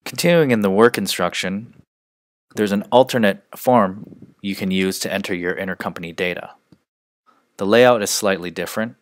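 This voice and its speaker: Male software trainer, low register, measured instructional screencast delivery, dry studio-style sound. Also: no room sound. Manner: measured, step-by-step explaining.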